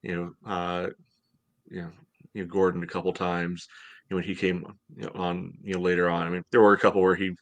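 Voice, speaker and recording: An American man in his thirties hosting a casual sports podcast over a video call, speaking haltingly with lots of "you know". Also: low voice, little pitch movement. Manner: hesitant, halting delivery, heavy use of "you know". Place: home room, indoors, on a video call. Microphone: headset on video call.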